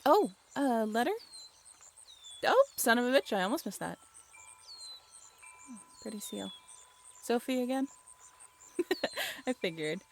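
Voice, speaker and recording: A woman's voice: on the high side, vocal fry, a little hiss or hum, full character voice. A woman playing an affectionate, grateful birthday celebrant and werewolf's partner in an intimate roleplay audio drama. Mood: amazed, grateful. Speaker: voice actor.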